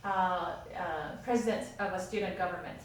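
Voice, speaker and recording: A woman's voice: fairly low pitch, normal speed, slight background noise. A woman lecturer leading a classroom discussion, encouraging students and asking follow-up questions. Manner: encouraging, asks follow-up questions, laughs lightly. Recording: echoey classroom from far off.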